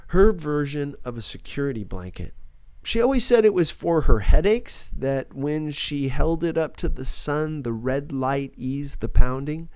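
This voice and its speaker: A man's voice: on the high side, lively intonation, measured pace, a little mellow, clear, resonant tone, no room sound. A man reading a first-person novel aloud as a scripted audiobook narration.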